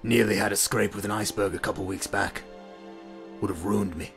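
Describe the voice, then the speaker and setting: A man voicing a gruff, gravelly seafarer in a fantasy video game. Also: fairly low pitch, steady tone, fairly quick, faint music behind. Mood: grumbling. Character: gruff seafarer grumbling about icebergs. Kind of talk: video game character acting.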